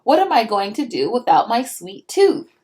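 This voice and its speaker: A woman's voice: on the high side, big pitch swings, normal speed, darkish tone. A woman voicing a dramatic, demanding little girl from a picture book, with an exclamatory, whiny delivery. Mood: upset.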